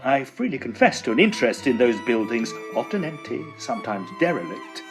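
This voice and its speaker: A man's voice: medium pitch, sing-song, brisk, music in the background. A man playing a fussy, genial Edwardian estate agent in a tongue-in-cheek audio drama, speaking in wordy, formal, old-fashioned phrases. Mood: measured storytelling. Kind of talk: tongue-in-cheek period audio drama, first-person storytelling and dialogue.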